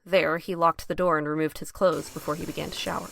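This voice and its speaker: A woman narrates an audio drama from a script. Her voice sits a little low, and the sound is close and dry, without room echo. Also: level tone, quick pace, gravelly, fairly bright tone.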